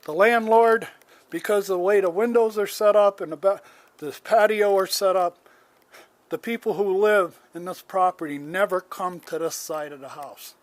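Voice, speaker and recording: A man's voice: sits high, moderate pace. A man in his seventies filming himself outdoors around his yard on a handheld phone, airing an aggrieved complaint in a plain-spoken, rambling way with restarts. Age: 70s. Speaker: homeowner. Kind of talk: casual complaint vlog, filming as he walks.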